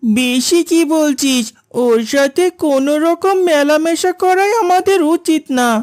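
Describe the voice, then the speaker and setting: High, snippy young schoolgirl played by a woman. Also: unhurried, darkish tone, no echo, studio quality. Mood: disdainful. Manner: snippy, accusing. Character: haughty, hostile young village schoolgirl. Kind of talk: cartoon character dialogue.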